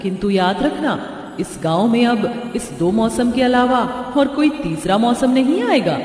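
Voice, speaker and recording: A woman's voice: a little high, lively intonation, unhurried, darkish tone, reverberant, big-room sound, voice pushed up. A woman voicing a benevolent, solemn goddess in a cartoon story, declaiming formally. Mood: solemn, gracious.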